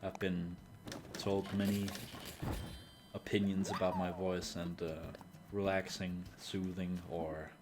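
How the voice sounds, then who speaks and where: Deep-voiced man with dry sarcasm. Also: flat delivery, takes it slowly, noisy background. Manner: dry, sarcastic, full of filler "uh"s. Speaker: streamer. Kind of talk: casual live gameplay commentary.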